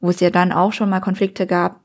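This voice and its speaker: A woman's voice: fairly low pitch, flat delivery, brisk. Woman chatting casually, lots of ähms, feeling her way through. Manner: searching, hesitant, many fillers. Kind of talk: casual personal conversation.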